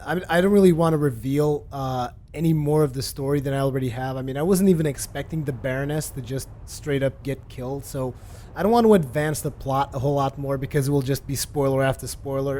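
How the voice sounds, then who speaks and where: A man casually reviewing a video game for his channel, relaxed and rambling, with lots of fillers and restarts. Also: a little high, a little hiss or hum. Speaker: gaming video host.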